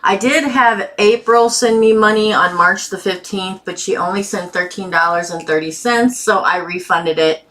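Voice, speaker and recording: A woman's voice: medium pitch, moderate pace, hardly any pauses, fairly bright tone. A woman hosting a tarot live stream with a sore throat, chatting casually and greeting viewers by name.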